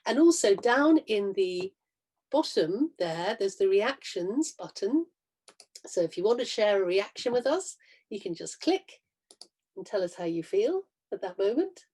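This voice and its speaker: Husky, mellow woman hosting informally. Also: mid-range voice, very animated, measured pace. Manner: chatty, encouraging. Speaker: director and announcer of amateur reading. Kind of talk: informal welcome to online audience. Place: online video call. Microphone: video call.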